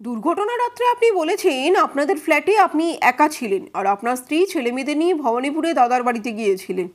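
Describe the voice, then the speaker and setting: A woman's voice: high-pitched, lively intonation, fast talker, voice pushed up. A woman voicing a probing male defence advocate in an audio story, questioning witnesses in a formal, insistent, pointed way. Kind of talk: dramatized courtroom questioning.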